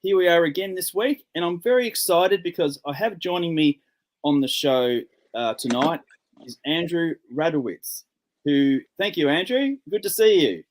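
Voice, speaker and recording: A man hosts a casual interview in a friendly, rambling way, with many restarts. His voice sits a little higher than most men's. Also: expressive, normal speed. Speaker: podcast host.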